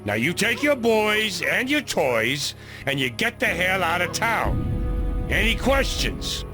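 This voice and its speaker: A man playing an aggressive, bullying Old West outlaw in a video game cutscene, loud and commanding. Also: high voice, big pitch swings, slow pace, exaggerated voice. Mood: threatening. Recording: music behind the voice.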